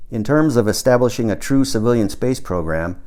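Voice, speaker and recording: A man's voice: mid-range voice, lively intonation, a little fast, darkish tone. A man reading his own book as scripted audiobook narration, with a literary, wry delivery.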